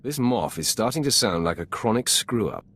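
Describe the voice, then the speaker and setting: An actor playing a commanding Sith lord in a video game, gravelly, with a curt, dry, authoritative manner. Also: male voice, fairly low pitch, very animated, moderate pace, fairly bright tone, exaggerated voice. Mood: dry disdain. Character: commanding male Sith lord, imperious superior officer. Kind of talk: scripted video game dialogue.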